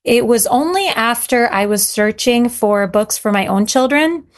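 A woman's voice: a little high, animated, a little slow. A woman who came to America from Syria as a child, talking earnestly about her writing as an interview guest, with frequent fillers and restarts. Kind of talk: casual interview talk.

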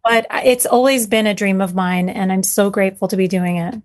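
A woman's voice: medium pitch, brisk. Earnest woman author, raised in Syria. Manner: earnest, many fillers and restarts. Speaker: children's author guest. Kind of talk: casual interview talk.

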